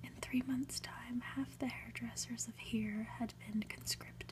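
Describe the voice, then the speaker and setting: A woman whispering an ASMR reading of a story in a gentle, hushed manner, with soft asides. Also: a little high, fairly even intonation, unhurried. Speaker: ASMR reader and host.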